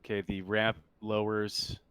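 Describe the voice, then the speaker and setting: A man acting as game master for a tabletop roleplaying game, talking casually and a little haltingly. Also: on the low side, monotone, fairly bright tone, smooth, no echo.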